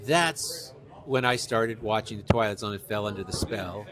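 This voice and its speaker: A man's voice: fairly low pitch, lively intonation, moderate pace, a crowd in the background. A middle-aged man from New York telling anecdotes in an interview, talkative and enthusiastic about his subject. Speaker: author, interview guest. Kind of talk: conversational interview, anecdotal storytelling.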